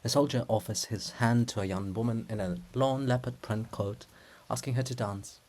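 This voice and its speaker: A man narrating stage directions for a dramatic play reading in a measured, theatrical manner. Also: mid-range voice, fairly even intonation, darkish tone.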